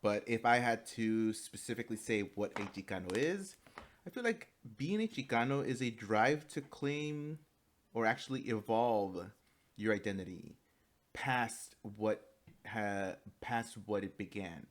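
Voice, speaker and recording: Young male student, low-pitched, hesitant with ums and restarts, presentation for class. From United States. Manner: halting, many fillers and restarts; switches into fluent Spanish.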